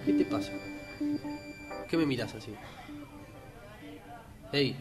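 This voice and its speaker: A man's voice: medium pitch, slow, over loud music, natural voice. A man with an Argentine accent acting a blunt, macho and easily suspicious rival in a radio drama, talking colloquially. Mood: suspicious, irritated. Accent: Rioplatense Argentine Spanish.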